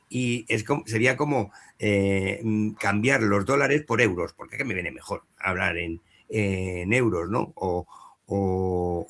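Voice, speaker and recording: Brief: middle-aged man, low voice, dubbing director at a panel, hesitant storytelling. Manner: anecdotal, many fillers and restarts. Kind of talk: panel talk at a professional meeting.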